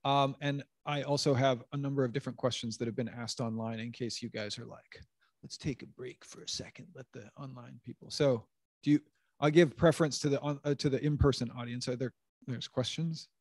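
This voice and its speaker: A man's voice: mid-range voice, steady tone, brisk, a little mellow, no room sound. A man in his thirties moderating a science panel Q&A in a lecture room on a handheld mic, thinking aloud with frequent restarts.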